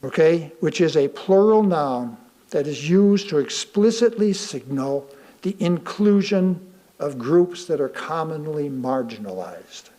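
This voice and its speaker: A man reading a sermon aloud from a script, deliberately and with emphatic pauses. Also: a little high, lively intonation, warm tone. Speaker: lay reader of a sermon.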